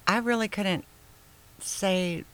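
Older woman singer-songwriter from michigan, soft and hesitant, lots of ums. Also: mid-range voice, slow pace, clean tone. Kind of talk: casual interview chat.

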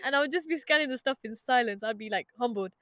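A woman's voice: a little high, quick pace, sharp tone, dry recording, studio quality. A young woman from Ireland, a podcast guest chatting casually and earnestly about faith, with frequent laughter. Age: young adult. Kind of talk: casual chat.

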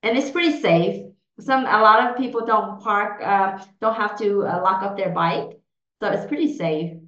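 A woman's voice: medium pitch, normal speed, a bit bright. Hesitant woman in her forties, roomy. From Vietnam. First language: Vietnamese. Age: 40s. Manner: hesitant, many fillers and restarts. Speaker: podcast guest. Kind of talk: casual interview answers. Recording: roomy, dull lo-fi sound.

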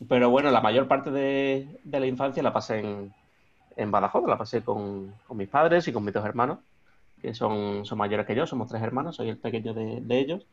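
Man, Extremadura Spanish accent, 30s, hesitant guest, lots of fillers, muffled lo-fi audio. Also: mid-range voice. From Extremadura, Spain. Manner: hesitant, many restarts and fillers. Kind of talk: remote interview conversation.

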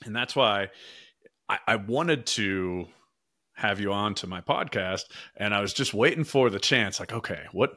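A man's voice: fairly low pitch, unhurried, sharp tone, no echo, clean studio sound. An American man hosting a podcast, chatting casually with a guest in a conversational, self-deprecating way. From Pittsburgh, United States. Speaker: podcast host. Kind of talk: casual podcast interview chat.

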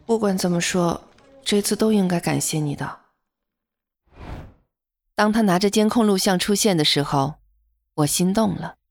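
A woman's voice: mid-range voice, normal speed, long pauses, darkish tone, natural voice. An actress playing a modest young female office worker in an audio drama, in first-person narration and dialogue. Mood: grateful. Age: young adult. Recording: music bed behind the voice.

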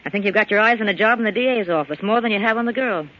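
An actress playing a tough, possessive nightclub boss wounded in love, with a sardonic, melodramatic delivery in old-time radio style. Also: female voice, mid-range voice, racing, lightly acted. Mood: bitter, probing. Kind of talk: 1940s radio drama acting. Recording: dull, slightly noisy old radio recording.

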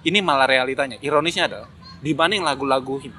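A man's voice: medium pitch, quick pace. A man in a casual, opinionated discussion, speaking emphatically and critically and repeating phrases to drive points home. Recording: noticeable background noise.

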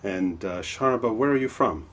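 Low-voiced male podcast host. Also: animated, moderate pace, a little hiss or hum. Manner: stammers and repeats when asking questions. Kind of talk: interview host, scripted intro then chat.

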